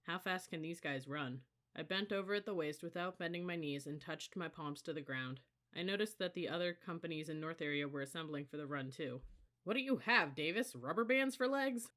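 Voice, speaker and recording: Audiobook reader: bright, forceful tone, scripted first-person novel narration. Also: mid-range voice.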